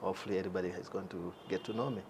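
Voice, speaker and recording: A man's voice: deep voice, steady tone, rapid-fire, darkish tone, a little hiss or hum. A young man as an interview guest, talking casually with many fillers and easy laughs. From Uganda. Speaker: guest, entrepreneur.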